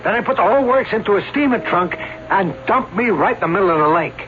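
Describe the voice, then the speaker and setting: An actor in a radio drama playing a boastful, stubborn aging escape artist who talks in brash slang. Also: male voice, high-pitched, lively intonation, fast. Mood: confident. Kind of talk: old-time radio drama acting. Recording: dull radio recording, loud music.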